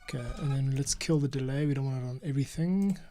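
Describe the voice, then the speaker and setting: A man's voice: medium pitch, fast talker, mellow, faint music behind. A man thinking aloud in an informal way as he edits audio, often muttering to himself. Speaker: podcast producer and audio editor. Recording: noisy room with keyboard clicks. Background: typing, mouse clicks, audio being edited.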